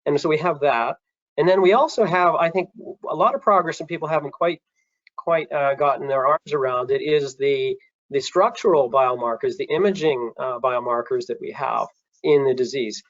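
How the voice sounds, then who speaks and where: Halting man on a dry, muffled video call. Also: on the high side, no echo. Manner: halting, many restarts and fillers. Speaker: panelist. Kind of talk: panel discussion.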